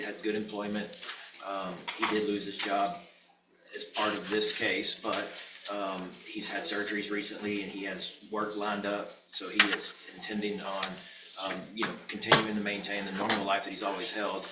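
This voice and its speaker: A man with an American accent arguing haltingly and in a conciliatory way as a defense attorney, on an echoey, distant, very noisy courtroom recording. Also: lowish voice. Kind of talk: courtroom argument.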